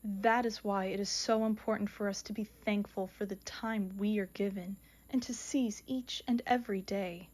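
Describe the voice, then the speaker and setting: A woman's voice: medium pitch, fairly even intonation, darkish tone, lightly acted. Woman playing a solemn all-knowing female grim reaper. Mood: solemn, consoling. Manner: measured, oracular, dramatic pronouncements. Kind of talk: audio mystery drama acting.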